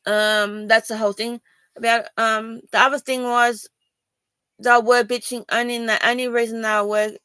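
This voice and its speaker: A woman's voice: a little high, level tone, normal speed, sharp tone, clear, resonant tone, no room sound. An Australian woman from Sydney speaking to viewers in a personal video, rambling and defensive, with many ums and restarts. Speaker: vlogger.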